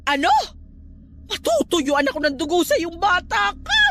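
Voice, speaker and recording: Radio drama aunt: stern, scolding, high-pitched, very animated, faint music behind. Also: female voice, normal speed, full character voice. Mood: exasperated scolding.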